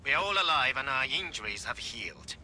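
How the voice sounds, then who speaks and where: A calm former gangster in a game cutscene, speaking in terse, dry, measured lines. His voice is notably bright and sits at a middle pitch. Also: slight background noise. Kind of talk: video game cutscene acting.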